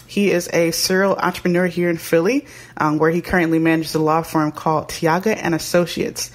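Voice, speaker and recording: A man's voice: fairly high pitch, level tone, a little fast. A man hosting a marketing podcast, upbeat and peppered with filler ums and uhs. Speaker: podcast host.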